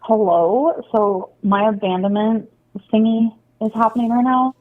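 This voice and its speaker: A woman leaving a halting, pleading apology on voicemail, sounding anxious and close to tears. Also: a little high. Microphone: phone. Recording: tinny, narrow-band phone voicemail.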